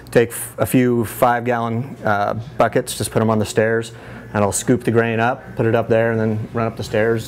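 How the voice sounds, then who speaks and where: A man in his thirties, a head brewer giving a casual tour of his small brewery, relaxed and full of fillers and restarts. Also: on the low side, slight background noise. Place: small brewery inside a bar, among steel tanks. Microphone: lapel mic.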